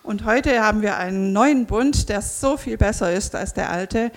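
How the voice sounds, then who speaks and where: An interpreter with a gravelly, mid-pitched voice, echoing a preacher phrase by phrase. Speech is quick and practical, with fillers and restarts. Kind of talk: consecutive sermon interpreting.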